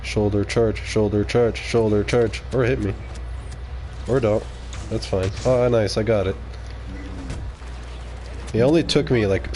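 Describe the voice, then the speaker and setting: A man whose first language is French talks through a live gaming stream in a relaxed, low-pitched voice. He reacts out loud to the action and mutters asides to his viewers. A faint hiss sits under the recording. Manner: casual, reacting aloud to the game, muttering asides. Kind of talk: live gameplay commentary.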